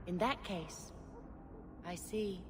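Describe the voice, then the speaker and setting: Earnest young sister, fantasy game cutscene, reverb and music. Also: female voice, on the low side, slow.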